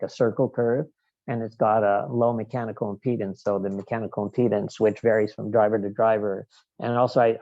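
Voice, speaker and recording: Gravelly, mellow man explaining technical material. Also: lowish voice, no room sound, highs cut off. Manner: halting, digressive, explanatory. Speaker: guest lecturer, loudspeaker engineer. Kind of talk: technical presentation with question and answer.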